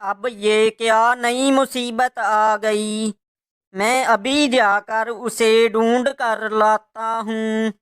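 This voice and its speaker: A tired, ailing crow father from a cartoon fable , acted by a man. He speaks very slowly in a very clean, smooth voice. He sounds worried yet gentle with his family. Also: high voice, level tone, full character voice. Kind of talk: Hindi cartoon moral story dubbing.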